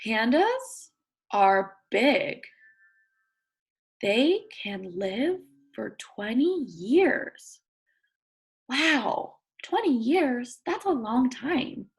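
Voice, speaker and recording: A woman with a fairly high, lively voice leads a read-aloud for little kids at an unhurried pace. Her tone has a husky, creaky edge as she reads deliberately and poses questions to her listeners like a teacher. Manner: expressive, prompting listeners with questions and think-alouds; slow, deliberate sentence reading with simple explanations for children. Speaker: teacher reading aloud to young children. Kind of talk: interactive nonfiction read-aloud lesson for young children.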